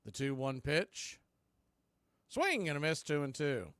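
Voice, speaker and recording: Bright, punchy male baseball play-by-play announcer. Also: fairly high pitch, unhurried, no echo, studio quality. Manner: excitable, folksy exclamations on big plays. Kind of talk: live radio play-by-play commentary.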